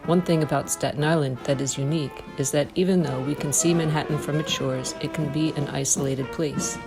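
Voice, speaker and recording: A documentary narrator reading a measured, informative script. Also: mid-range voice, steady tone, vocal fry, music in the background.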